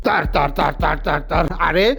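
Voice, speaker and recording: A woman's voice: sits low, fairly even intonation, takes it slowly, vocal fry, full character voice. A woman acts as a gullible, silly sidekick in a children's cartoon. He stutters repetitive nonsense sounds and grumbles like a sulky child. Music plays behind. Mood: baffled. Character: silly, gullible male sidekick, whiny and easily offended. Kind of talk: animated story voice acting.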